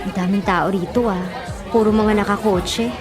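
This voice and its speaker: A woman playing a young, caring and teasing friend in a radio drama, chatty and expressive. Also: medium pitch, normal speed, close to an everyday voice. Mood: teasing, concerned. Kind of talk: Tagalog radio drama acting. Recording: music and crowd behind. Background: music, crowd chatter.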